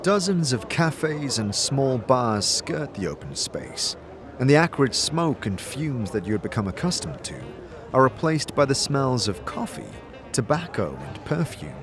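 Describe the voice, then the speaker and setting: A man narrating a scripted fantasy audio drama in a measured, descriptive storytelling manner. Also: medium pitch, sing-song, music in the background. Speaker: narrator and game master.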